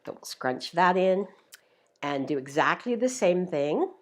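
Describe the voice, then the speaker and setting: A woman's voice: fairly low pitch, big pitch swings, measured pace. A woman hosting a live craft tutorial, explaining each step in a chatty, upbeat way, her voice a little worn. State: slightly losing her voice. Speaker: craft demonstrator, show host.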